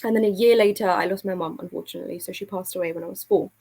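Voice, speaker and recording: A woman's voice: fairly low pitch, steady tone, rapid-fire, vocal fry, darkish tone. London woman telling her story candidly. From UK, born in India to an Afghan family, moved at three. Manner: conversational, frequent "like" and "you know". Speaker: podcast guest, author and speaker. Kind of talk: candid personal storytelling in an interview.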